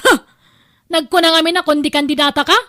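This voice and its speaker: A woman with a Filipino accent playing a stingy, sharp-tongued aunt who scolds in an audio drama. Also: high voice, steady tone, fast, no room sound, studio quality. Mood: scolding, indignant. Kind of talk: Ilocano audio drama acting.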